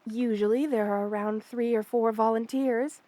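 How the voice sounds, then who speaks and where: A woman voicing a kind, protective spider queen in an ASMR roleplay, speaking intimately and soothingly to the listener with hesitant pauses and formal wording. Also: fairly high pitch, fairly even intonation, warm tone, no echo, natural voice. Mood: patient explaining.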